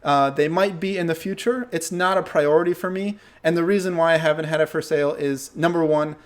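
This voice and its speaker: A young man hosting his channel, who says English is not his first language, answering viewer questions in a relaxed, thinking-aloud way. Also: fairly high pitch. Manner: relaxed, thinks aloud with fillers and self-corrections. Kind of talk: casual Q&A talk to camera.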